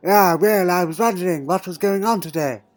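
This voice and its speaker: A man's voice: high voice, a little fast, close to an everyday voice. Elderly time traveller, played by a man: doddering, fussy, imperious but fond, hums questioningly; audio drama over music. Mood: imperious, instructive. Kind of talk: fan audio drama acting. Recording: music underneath.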